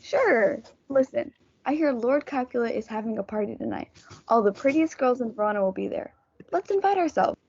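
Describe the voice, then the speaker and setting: A young nobleman played by a female performer with a fairly high voice, friendly and practical, coaxing his companions in a student stage reading. The audio is dull and lo-fi. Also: expressive, normal speed, a little mellow, husky. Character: young male Montague, friendly peacemaking cousin, coaxing and practical. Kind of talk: student reader's theatre.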